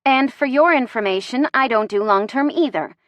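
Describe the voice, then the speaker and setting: A woman's voice: high-pitched, moderate pace, dry recording, lo-fi sound, voice pushed up, lightly acted. A woman voicing a sassy, easily flustered young heroine with bantering, defiant delivery in a romance audiobook. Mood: indignant.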